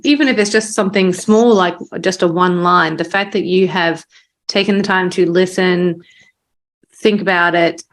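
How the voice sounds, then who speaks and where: A woman hosting a podcast interview in a casual, informal conversational way. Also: lowish voice. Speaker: podcast host.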